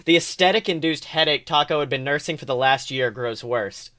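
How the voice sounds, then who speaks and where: A man's voice: on the high side, fairly quick, pushed and bright. A man hosting a podcast, narrating fan fiction aloud with expression. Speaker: podcast host.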